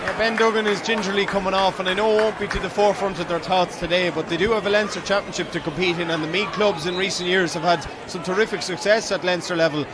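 Irish male play-by-play commentator, fairly high voice, calling Gaelic football from the stand through very noisy surroundings. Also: steady tone, fast talker, few pauses. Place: outdoor stadium stand. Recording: loud crowd and outdoor stadium noise. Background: loud crowd and ground noise.

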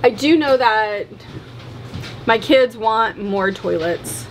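A woman's voice: a little high, expressive, slow pace, slight background noise, low-quality recording. A woman in her thirties chatting casually indoors about house plans, laughing often, picked up by a nearby handheld camera. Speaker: wife discussing a renovation. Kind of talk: casual conversation. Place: indoors in a barn loft apartment.

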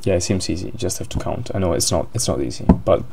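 Italian-accented man, lowish voice, halting game commentary, noisy recording. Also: gravelly. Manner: hesitant, many fillers and restarts. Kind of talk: live-streamed game commentary.

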